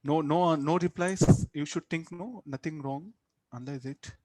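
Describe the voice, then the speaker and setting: A man's voice: on the high side, a little slow, warm tone, no room sound, low-quality recording. South Indian man teaching in a dry-sounding space. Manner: repeats words and phrases, tags sentences with questioning "no?". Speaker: physics teacher. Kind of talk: interactive classroom lecture.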